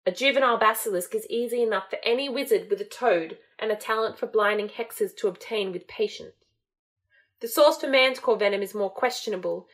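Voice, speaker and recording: A woman's voice: mid-range voice, close to an everyday voice. A woman voicing a formal, strained male servant reporting to his master in an audiobook reading.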